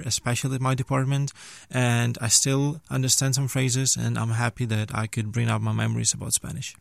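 Male guest, twenties, Ukrainian native, mid-pitched, conversational, recorded close and dry. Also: creaky, dry recording. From western Ukraine. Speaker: interview guest, language teacher and student. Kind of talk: conversational interview.

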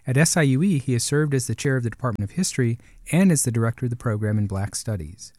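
A man hosting a show, moving quickly through a prepared introduction in an even voice of middle pitch. The sound is dry and close to the mic. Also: no room sound. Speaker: podcast host. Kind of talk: read introduction and interview questions.

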